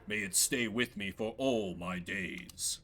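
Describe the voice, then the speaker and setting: A man voicing a courteous, fatherly older male leader in a fantasy game, speaking formally and with measured eloquence. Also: on the low side, pushed and bright, full character voice. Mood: fond.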